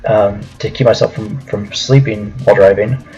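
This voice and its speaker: A man with a somewhat husky voice at an average pitch tells a personal story casually to his viewers, rambling a little as he goes. The recording is a bit muffled, with music playing behind him. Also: fairly even intonation, normal speed, dark tone. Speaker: video storyteller.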